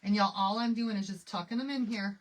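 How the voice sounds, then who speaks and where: A woman's voice: mid-range voice, brisk, low-quality recording. A woman with a Michigan accent hosting a live crafting tutorial, chatty and laughing often as she answers viewers. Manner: chatty, laughs often, answers chat questions while working. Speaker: craft livestream host.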